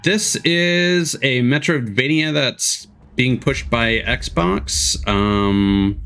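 A man's voice: medium pitch, extremely slow, creaky, a bit bright, clean tone. A man hosting a livestream, giving casual live reaction commentary. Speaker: livestream host. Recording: trailer audio and music behind.

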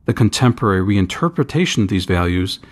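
A man, a priest and scholar, reads a scripted spiritual essay in a measured, deliberate, formal manner. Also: lowish voice, sing-song.

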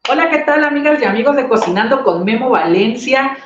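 A man's voice: very high voice, expressive, a little fast, fairly bright tone, a little hiss or hum. A middle-aged man hosting a casual video-call interview, playful and teasing. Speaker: host. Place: small room indoors. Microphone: webcam on a video call. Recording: dull, roomy webcam sound.

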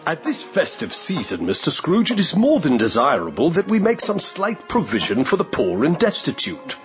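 A man playing a polite, earnest gentleman collecting for charity in a radio play, speaking formally. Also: fairly high pitch, wildly swooping pitch, moderate pace. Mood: courteous, earnest. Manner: formal, courteous. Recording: dull lo-fi audio with music behind.

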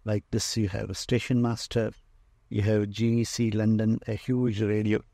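A man with an Indian accent giving an informal guided tour as a museum owner, speaking haltingly with frequent pauses. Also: lowish voice, level tone, gravelly, mellow, no room sound, clean studio sound. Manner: halting, pausing often mid-phrase. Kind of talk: informal narrated walk-through tour. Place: indoor museum and workshop room full of shelves.

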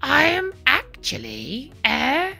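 A woman voicing a shy, lonely, gentle male yeti in a storybook reading. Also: high-pitched, wildly swooping pitch, slow pace, vocal fry, faint music behind, exaggerated voice. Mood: pleading.

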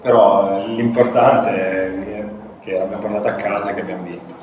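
A young footballer speaking in a low, flat, even voice, matter-of-fact about the match. He sits back from a table mic in a very echoey room. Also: normal speed, far from the mic, background noise. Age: young adult. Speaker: football player. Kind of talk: post-match press conference. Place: press room with a sponsor backdrop. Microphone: table mic on a stand.

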